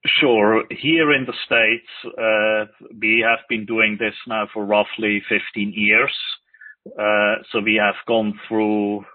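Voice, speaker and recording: A middle-aged man from Switzerland with a Swiss accent, a podcast guest who speaks in measured phrases with many pauses. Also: on the low side, no echo. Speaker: guest, real estate lender. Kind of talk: podcast interview. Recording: dry, tinny phone-like line.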